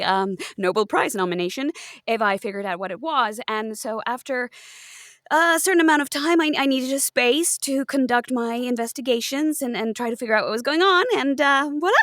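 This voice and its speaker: A woman's voice: fairly high pitch, a bit bright, no room sound, clean studio sound, natural voice. A woman playing an eccentric investigative writer in a comic roleplay, earnest, hesitant and stammering.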